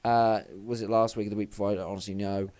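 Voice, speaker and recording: A young man, slightly hoarse, rambling and swearing casually as he previews a wrestling show. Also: fairly low pitch, little pitch movement, quick pace, a little mellow. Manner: rambling, sweary, self-deprecating. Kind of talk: casual vlog commentary.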